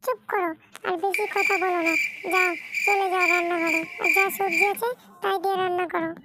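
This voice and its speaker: A woman's voice: very high-pitched, level tone, a little fast, very noisy. A woman voicing a nagging, scornful older village mother-in-law who scolds and complains in a cartoon. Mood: scornful scolding.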